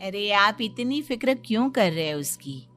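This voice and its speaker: A woman playing an indulgent, protective mother in a cartoon, coaxing and gently chiding. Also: medium pitch, sing-song, moderate pace, faint music behind. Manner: coaxing, soothing the son, chiding the husband. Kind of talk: Hindi cartoon moral-story acting.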